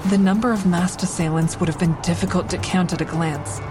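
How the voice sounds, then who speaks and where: A woman's voice: fairly low pitch, steady tone, a little fast, close to an everyday voice. A woman playing a shaken survivor narrating a train robbery in a scripted audio drama. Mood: grave, tense. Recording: music behind narration. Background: dramatic score and train sound effects.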